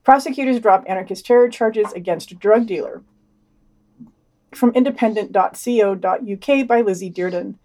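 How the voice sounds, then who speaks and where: A woman reads news summaries for a podcast in a flat, deadpan way. She adds brief wry comments after the quotes, her voice sitting at an ordinary middle pitch. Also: normal speed, rough recording. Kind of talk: reading news summaries with dry asides.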